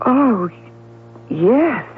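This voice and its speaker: Evasive middle-aged newspaperwoman; high voice, dramatic radio-play delivery, noisy vintage broadcast. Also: sing-song, drawn-out words, narrow, tinny audio, exaggerated voice. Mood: guarded. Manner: theatrical, self-dramatizing. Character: Clara, smooth evasive career newspaper gossip writer, an older sister. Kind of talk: 1970s radio drama acting.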